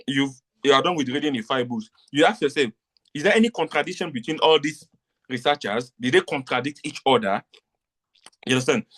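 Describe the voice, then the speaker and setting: Man lecturing, checking understanding often. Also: a little high. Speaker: tutor. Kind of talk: live online lecture.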